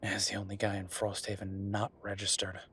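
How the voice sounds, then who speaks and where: A man's voice: fairly low pitch, completely flat delivery, brisk, pushed and bright, a little hiss or hum. A man playing an affectionate, teasing werewolf bureaucrat in an intimate romantic audio roleplay, speaking conversationally with murmured asides. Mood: earnest, musing. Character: Viktor, affectionate teasing male werewolf bureaucrat, weary but playful.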